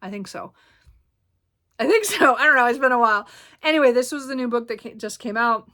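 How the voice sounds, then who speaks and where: Female book vlogger, casual and chatty, bright tone, slight hoarseness, meandering delivery full of fillers. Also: fairly high pitch, expressive, fairly quick. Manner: rambling, many ums and self-corrections. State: slightly hoarse, clearing throat. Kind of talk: casual book haul chat.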